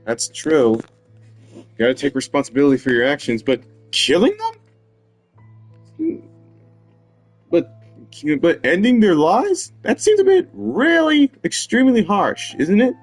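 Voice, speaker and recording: Animated male gamer, unhurried. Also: high-pitched. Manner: animated, dramatic reactions. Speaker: gamer commentator. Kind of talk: casual game playthrough reactions. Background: game music.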